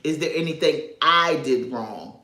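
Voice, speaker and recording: A woman's voice: low-pitched, lively intonation, a little slow, bright, forceful tone, roomy sound. A woman from New York, raised in North Carolina, giving casual livestream commentary. She talks in a chatty way, drifts off topic and chuckles often. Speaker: social media commentator.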